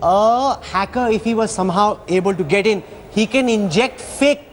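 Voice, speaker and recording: A man's voice: high-pitched, normal speed, slight background noise. A man with an Indian accent anchoring a broadcast news interview, asking probing questions with dramatic phrasing.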